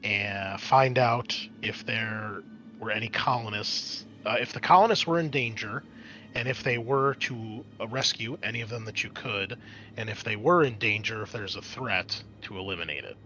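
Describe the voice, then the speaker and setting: A man's voice: on the low side, moderate pace, bright, forceful tone, a little hiss or hum, rough recording. A man narrating a tabletop roleplaying game as game master, in an explanatory, conversational way.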